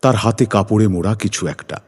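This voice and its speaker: A man's voice: fairly low pitch, fairly quick, darkish tone, breathy edge. A man narrating a scripted suspense story in a dramatic, suspenseful manner. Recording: music behind the voice.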